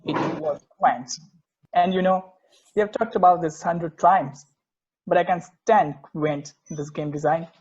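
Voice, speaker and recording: A man's voice: fairly high pitch, a little slow, a little mellow, husky. A man acting as an earnest, particular, opinionated football fan in a conversational table read, emphatic and occasionally stumbling over words. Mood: earnest, insistent. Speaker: amateur actor in a remote table read. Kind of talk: conversational dramatic script reading. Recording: dull lo-fi microphone sound.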